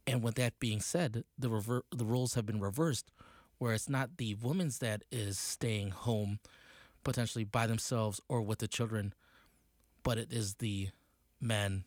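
A man in his late forties, a community news reporter, reviewing a play in an unhurried, halting way with frequent fillers. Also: on the low side, fairly even intonation, no echo, clean studio sound. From United States.

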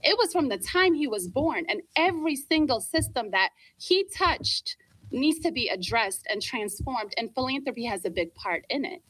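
A woman's voice: high-pitched, animated, normal speed, a bit bright, no echo, poor audio. A woman from Ethiopia who grew up in Minnesota, telling her story as an interview guest in an earnest, emphatic way, often checking in with the listener. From born in Ethiopia, grew up in Minnesota, US. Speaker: interview guest, philanthropy leader. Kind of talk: reflective personal storytelling in an interview.

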